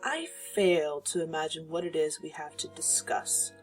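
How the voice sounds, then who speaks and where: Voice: medium pitch, lively intonation, normal speed, faint music behind, natural voice, low-quality recording. A performer voicing an ancient, powerful female vampire hostess in a fantasy audio drama, musical and feminine, sarcastic, with theatrical mock respect. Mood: disdainful.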